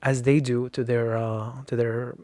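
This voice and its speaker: A man's voice: mid-range voice, little pitch movement, a little slow, warm tone, dry recording. Guy from lebanon on a podcast, lots of ums, dry close sound. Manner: hesitant, many fillers. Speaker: guest. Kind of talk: casual interview talk.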